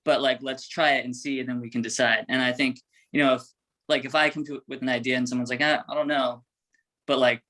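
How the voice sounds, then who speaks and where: A young man in a band giving thoughtful, halting answers in a casual interview. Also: mid-range voice, little pitch movement, pushed and bright. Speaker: indie rock band member being interviewed. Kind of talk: casual chat.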